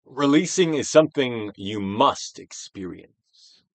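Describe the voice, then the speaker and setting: Male narrator, theatrical self-help audiobook, mid-pitched, highly animated intonation, emphatic swells and hushed drops, stage-actor delivery. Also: gravelly, no echo. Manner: emphatic, drawn-out phrases with big dynamic swells and hushed drops. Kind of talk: theatrical dramatic audiobook reading.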